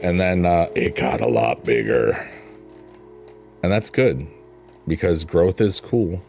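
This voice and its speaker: A man with a booming voice on a late-night stream, chatting in a rambling, thankful way and answering his chat. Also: expressive, slow pace, creaky. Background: soft piano music.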